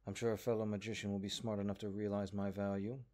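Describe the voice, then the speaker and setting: A man's voice: fairly low pitch, level tone, fast talker, darkish tone, natural voice. A man voicing a haughty, exasperated magic book in a comedic audio roleplay, condescending and sarcastic. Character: exasperated, haughty talking cursed grimoire, a sentient magic book.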